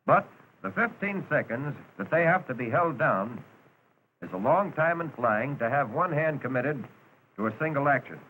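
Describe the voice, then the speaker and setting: An American man reads scripted narration for a 1940s training film. He is measured and instructive, with a medium-pitched voice and clear noise on the old soundtrack. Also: expressive, narrow, tinny audio.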